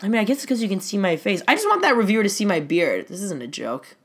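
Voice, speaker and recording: A man with a high-pitched, shrill voice co-hosting a casual comedy podcast, joking and laughing a lot. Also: big pitch swings, quick pace, a bit bright. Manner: jokey, laughs often, riffs on bits. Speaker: podcast co-host.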